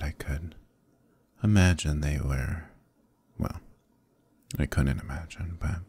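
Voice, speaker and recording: Male actor as evil conquering knight, extremely low voice, husky, condescending, softly threatening, intimate ASMR roleplay. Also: no room sound, exaggerated voice. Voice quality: very deep, gravelly, husky. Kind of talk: ASMR roleplay, slow intimate dramatic monologue.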